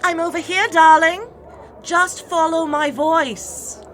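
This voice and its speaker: A woman's voice: extremely high pitch, fairly even intonation, slow, fairly bright tone, heavily acted. An actress playing a regal, doting and shrewd mother queen of the gods in an audio drama, speaking with formal, coaxing poise. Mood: calling out.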